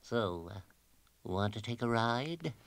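A man voicing an eager, shifty eccentric cartoon scientist, pitching his invention like a wheedling salesman with hesitant asides. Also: expressive, slow, heavy background noise. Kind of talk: animated cartoon character acting.

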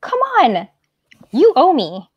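A woman's voice: high voice, huge pitch swings, takes it slowly, no echo, lo-fi sound, heavily acted. A young woman reading a sarcastic, exasperated twenty-year-old daughter over a video call.

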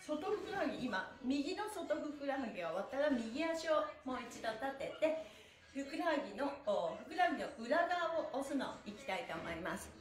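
A woman's voice: sits high, very animated, moderate pace. A middle-aged woman, cheerful and encouraging, leading a live stretching lesson in polite, playful, exclamatory speech from a small room. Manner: polite, with playful honorifics for body parts. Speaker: stretching instructor and stream host. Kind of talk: live exercise lesson to viewers. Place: small bare-walled room indoors. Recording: roomy indoor echo.

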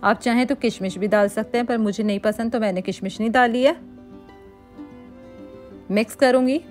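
A woman hosting a cooking channel, calmly explaining a recipe step by step in a voice-over and mixing English words into her talk. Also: fairly high pitch, normal speed, soft background music. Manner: calm, instructive, mixes English words into Hindi.

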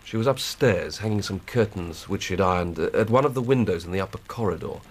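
An English actor playing an earnest, plain-spoken police inspector in a radio drama, reporting methodically and emphatically. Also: male voice, low-pitched, expressive, a little fast. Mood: earnest, matter-of-fact. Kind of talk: 1990s British radio drama acting.

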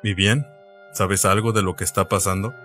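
Man playing a lowish-voiced cool young cook. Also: steady tone, moderate pace, fairly bright tone, soft background music. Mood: cool, sceptical. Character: cool, sceptical young male sea cook. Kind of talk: anime fandub acting.

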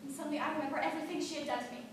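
An actress playing a frightened but defiant eighteen-year-old servant girl, with halting repeats and old-fashioned rustic speech. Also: high voice, fairly even intonation, lightly acted. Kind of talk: stage play performance. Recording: echoey, far-off stage audio with background noise.

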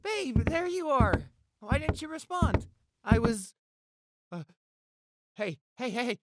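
Man acting devoted young boyfriend, slow, halting delivery. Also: extremely high pitch, very animated, very noisy, heavily acted. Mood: panicked, pleading. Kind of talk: boyfriend roleplay audio acting.

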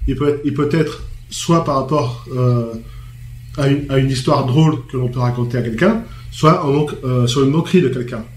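A man with a hoarse, cold-roughened voice, speaking as a panel guest and restarting his sentences often. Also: mid-range voice. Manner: halting, many restarts. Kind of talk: casual studio discussion. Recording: echoey room, faint background noise.

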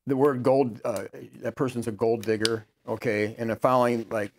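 An American man in his fifties hosting a casual interview, talking in a halting way as he searches for words. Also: medium pitch, steady tone, a little mellow, a little hiss or hum. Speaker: host. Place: small book-lined room indoors. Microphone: lapel mic.

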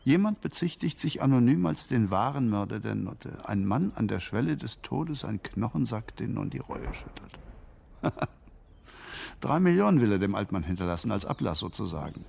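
A smug, worldly newspaperman in a radio play. His voice is husky and full of creak, with lively swings of pitch as he needles and bosses with sardonic relish. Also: moderate pace, exaggerated voice. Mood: smug, mocking. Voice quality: gravelly, husky. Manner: sardonic, theatrical. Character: cynical, smug, worldly newspaperman, bossy and mocking.

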